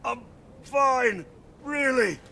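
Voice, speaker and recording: A chipper older man who plays down his troubles with a bright, high, unhurried voice. Faint outdoor ambience sits behind him. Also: lively intonation, slight background noise, full character voice. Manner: chipper, understated. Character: cheery older man hung upside down.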